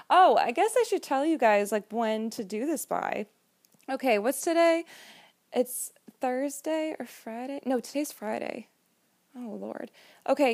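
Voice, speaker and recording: A young woman in her twenties, an esthetician hosting a casual solo podcast, with a nasal voice and a slow, drawn-out way of talking. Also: fairly high pitch, creaky.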